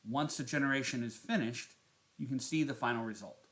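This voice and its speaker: A man narrating a step-by-step software tutorial as its host. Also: mid-range voice, a little fast.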